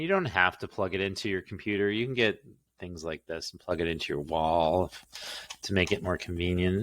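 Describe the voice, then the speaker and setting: A middle-aged man with a fairly low voice talks casually through a product unboxing. He rambles as he goes, goofy and grumbling, with some mild cursing thrown in. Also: a bit bright. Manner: goofy, grumbling, mildly profane. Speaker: unboxing video host.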